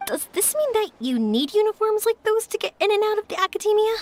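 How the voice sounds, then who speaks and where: A woman's voice: very high-pitched, very animated, quick pace, a little mellow, a little hiss or hum. A woman voicing a polite, curious young traveling girl in a video game, earnest and considerate. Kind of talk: video game character acting.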